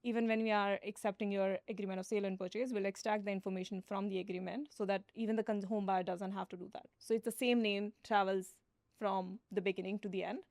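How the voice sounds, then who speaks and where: A woman's voice: mid-range voice, fairly even intonation, quick pace, a little mellow, no room sound, clean studio sound. A woman founder as a podcast guest, explaining steadily with frequent fillers. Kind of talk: conversational interview.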